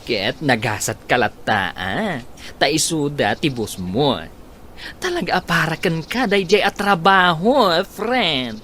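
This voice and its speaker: Very deep-voiced gossipy friend. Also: female voice, expressive, slow pace, fairly bright tone, slight background noise, full character voice. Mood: gossipy, encouraging. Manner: exclaiming, chatty. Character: chatty, gossipy, supportive woman friend. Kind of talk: Philippine radio drama acting.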